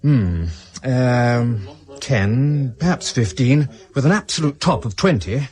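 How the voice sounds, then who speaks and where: An animated, affable British auction-house specialist in a radio drama. He is chatty, courteous and a little fussy, in a medium-pitched voice with lively intonation. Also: slow pace. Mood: expert, chatty. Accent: British English.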